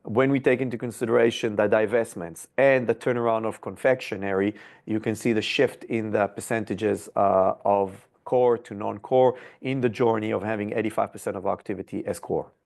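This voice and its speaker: A man giving a corporate earnings presentation as a company executive, speaking haltingly with frequent hesitations and repeated words. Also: lowish voice, steady tone, gravelly, a little mellow.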